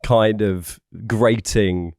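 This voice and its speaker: A man's voice: fairly low pitch, fairly even intonation, extremely slow, a crowd in the background. A man co-hosting a comedy podcast, bantering in a droll, argumentative way. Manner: droll, argumentative, swearing freely. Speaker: podcast co-host.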